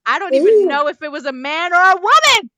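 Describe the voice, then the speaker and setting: A woman podcast host reading a novel aloud dramatically, with abrupt loud emphasis and casual asides. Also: extremely high pitch, animated, fairly quick, very noisy. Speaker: book club podcast host.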